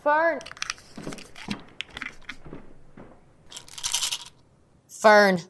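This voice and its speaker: A woman's voice: a little high, animated, very slow, a bit bright, heavily acted. A woman acting in an audio drama as a stern, hurt middle-aged mother, firm and pained. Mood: stern, urgent. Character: stern, hurt mother confronting her daughter.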